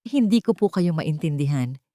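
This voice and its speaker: A woman's voice: sits low, expressive, normal speed, warm tone, no room sound. Woman acting timid Filipina young mother, polite, trembling, hesitant, dry storybook recording. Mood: bewildered, hesitant. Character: timid, humble, desperate young single mother.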